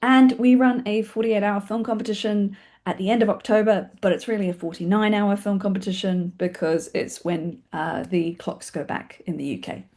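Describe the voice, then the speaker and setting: A woman's voice: medium pitch, fairly even intonation, mellow. Chatty New Zealand woman hosting a webinar. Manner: fast-talking, chatty, self-deprecating, questioning okay check-ins. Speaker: webinar host and film community organiser. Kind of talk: informal spoken webinar presentation. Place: online video call.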